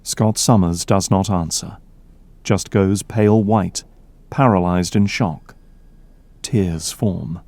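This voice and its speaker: A man's voice: low-pitched, slow, a little mellow, no echo. A man delivering dramatic scripted narration as the narrator of an audio drama.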